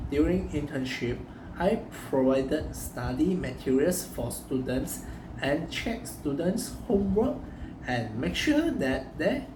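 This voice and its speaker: Slow, careful man in an echoey room. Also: on the high side. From Malaysia. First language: Chinese. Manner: slow, careful, reading from notes with small restarts. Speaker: job applicant. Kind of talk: scripted self-presentation. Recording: echoey room, far from the mic, noisy background.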